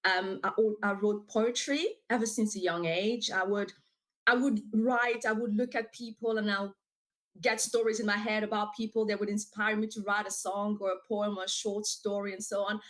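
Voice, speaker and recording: This is a woman from DR Congo, with French as her first language, talking as a guest on a podcast over a video call. Her voice sits at a middle pitch. She talks in an animated, talkative way, with frequent fillers and laughs. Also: normal speed. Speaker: author and guest. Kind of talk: casual interview.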